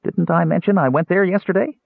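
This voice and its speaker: A man voicing an excitable, childlike little kender who rambles eagerly in a storybook reading. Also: mid-range voice, moderate pace, husky, dry recording, narrow, tinny audio, pitched above the performer's own voice, exaggerated voice. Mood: breezy, defensive. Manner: rambling, breathless, eager, digressive.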